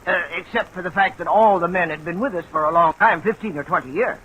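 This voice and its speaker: A man acting an earnest, worried steel mill supervisor in a 1950s radio drama, explaining things deliberately. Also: high-pitched, pushed and bright, slightly rough, full character voice, low-quality recording. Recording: hissy old radio recording.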